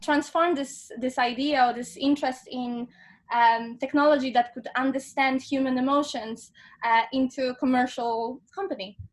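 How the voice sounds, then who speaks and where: High-voiced woman interview host. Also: fairly even intonation, normal speed. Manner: hesitant, many fillers and restarts. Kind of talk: interview hosting.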